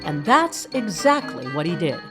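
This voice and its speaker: A woman's voice: lowish voice, very animated, normal speed, music in the background. A woman playing the narrator of a mock documentary, reading a scripted biography. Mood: storytelling.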